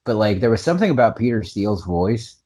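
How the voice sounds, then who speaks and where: Husky low guy cohosting a podcast, swings all over in pitch, cusses nonstop. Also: quick pace. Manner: excitable, swears constantly, laughs a lot. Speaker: podcast co-host. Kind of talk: casual profane chat.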